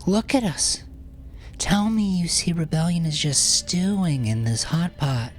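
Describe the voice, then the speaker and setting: A man's voice: on the high side, unhurried, faint music behind, voice pushed up, close to an everyday voice. A man voicing a feral, bitter young woman captive in an audiobook, snarling and sardonic. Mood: scornful, cynical.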